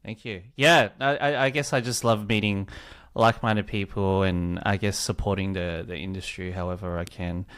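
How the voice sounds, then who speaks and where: A man's voice: low-pitched, steady tone, normal speed, clear, resonant tone. A man hosting a podcast, reading the guest's introduction and then chatting upbeat with him. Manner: upbeat, warm praise of guest. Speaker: podcast host. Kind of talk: scripted intro, then casual interview.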